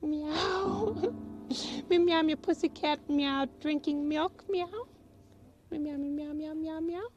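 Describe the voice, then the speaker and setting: Shy kitty puppet, high falsetto, meowing between words, slow sing-song, dull old TV sound. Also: male voice, steady tone, over loud music. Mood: sweet, playful. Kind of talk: children's puppet show.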